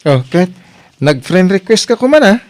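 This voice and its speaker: A man's voice: sits high, big pitch swings, normal speed, a little mellow. A man playing a mischievous, coaxing young suitor in an Ilocano audio drama, with an Ilocano Filipino accent and a bantering manner.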